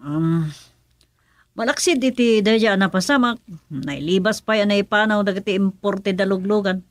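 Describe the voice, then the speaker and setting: A woman's voice: mid-range voice, moderate pace, no echo, clean studio sound, lightly acted. A woman with an Ilocano Filipino accent playing a loyal, plain-spoken underling in a radio drama. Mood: hesitant.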